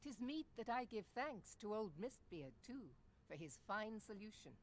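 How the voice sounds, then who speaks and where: Stately, expressive game admiral. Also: female voice, mid-range voice, unhurried, a little hiss or hum. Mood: solemn, grateful. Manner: formal, archaic, stately speech. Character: stern, grateful female admiral of a seafaring nation. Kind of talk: video game cutscene acting.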